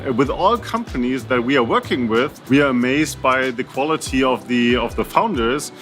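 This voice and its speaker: A man's voice: mid-range voice, animated, normal speed, fairly bright tone, soft background music. A man, a venture capital partner, speaking enthusiastically in an interview with some hesitations. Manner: enthusiastic, hesitant with fillers and restarts. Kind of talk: interview remarks.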